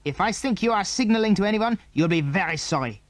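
A man's voice: high voice, expressive, fast talker, no echo, pitched above the performer's own voice. A man voicing an angry, suspicious foreign enemy in a children's audiobook, barking demands in stilted English. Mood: stern, demanding.

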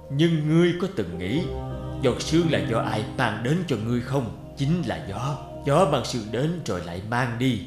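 A man voicing a wise old deity in an animated fairy tale, solemn, measured and lecturing, stern yet compassionate. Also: medium pitch, lightly acted. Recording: roomy echo over guitar music.

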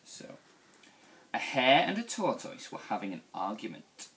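Animated man reading a children's storybook. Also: mid-range voice. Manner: animated, deliberate storytelling delivery. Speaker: school staff member reading to young children.

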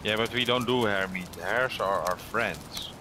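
Relaxed man, slightly low voice. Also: normal speed. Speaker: co-player. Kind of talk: casual chat while gaming. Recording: noisy voice chat audio.

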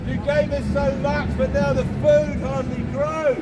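A woman with a squeaky voice performing outdoor street theatre as a worried ordinary townswoman who declaims to the crowd. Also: little pitch movement, unhurried. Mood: declaiming. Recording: outdoor protest with music and crowd. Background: protest crowd and music.

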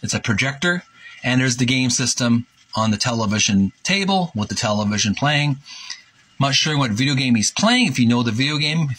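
A man hosting a retro gaming video, reading a book aloud in a halting, deliberate way with casual commentary. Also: mid-range voice, fairly bright tone, husky, low-quality recording. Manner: halting, deliberate, repeats himself.

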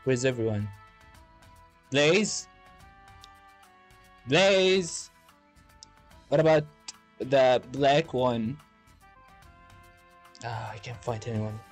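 A man voicing a whiny, bossy young hedgehog hero in a halting, rambling comic game dub. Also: medium pitch. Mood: confused. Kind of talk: improvised comedic video game fandub. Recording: music under the voice. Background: music.

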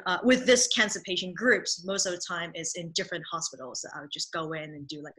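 A woman in her thirties whose first language is Cantonese, a dance therapist answering interview questions over a video call in a thoughtful, halting way. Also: on the low side, pushed and bright, poor audio. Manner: thoughtful, lots of ums and restarts, laughs easily. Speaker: dance movement therapist, guest. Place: small room at home. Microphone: video call webcam mic.

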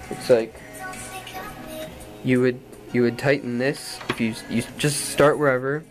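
A man in his twenties with a low voice, explaining drum tuning in a rambling, casual way with many ums, recorded in a small padded bedroom on a camera mic. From Florida, USA. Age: 20s. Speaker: drum instructor. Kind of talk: casual tutorial. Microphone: camera mic from a few feet away.

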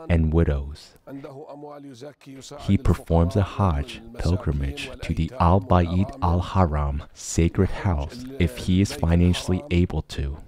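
Male voice-over, low register, measured and formal religious narration, other voices audible underneath. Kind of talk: scripted voice-over of a religious talk.

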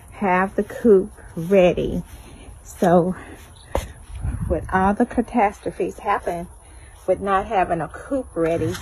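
A woman's voice: lowish voice, darkish tone. A middle-aged woman, giving casual commentary on a phone video in her backyard. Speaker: backyard chicken owner. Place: outdoors in a fenced backyard. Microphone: handheld phone behind the camera. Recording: noisy outdoor phone recording with birds. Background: chickens and chirping birds.

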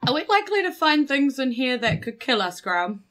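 Female tabletop player, New Zealand accent, bright high voice, playful casual chat. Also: very animated, brisk.

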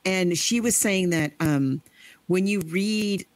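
A woman's voice: lowish voice, slow, dry recording. A woman co-hosting a podcast, chatting casually about a book and acting out quoted lines in playful voices. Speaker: podcast co-host.

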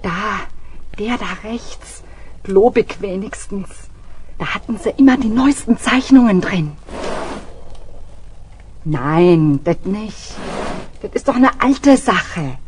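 A woman's voice: medium pitch, expressive, slow, long pauses, slight background noise. An actress playing a nervous, whiny woman crook who scares easily, in a children's radio play. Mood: hushed, eager.

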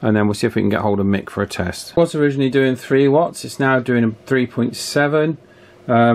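A man's voice: on the low side, gravelly, a little mellow. A British man giving a casual hands-on demonstration as a radio repairer, chatty and informal. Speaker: radio repairer presenting a video. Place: indoor electronics workbench.

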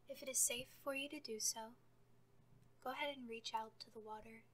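A woman leading a guided meditation, speaking gently and calmly as she gives soft scripted instructions. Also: fairly high pitch, moderate pace, slight background noise.

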